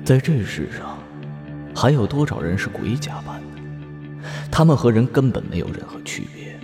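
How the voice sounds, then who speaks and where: A man reading ominous scripted trailer narration in a brooding, deliberate way. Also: mid-range voice, very animated. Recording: music clearly behind the voice.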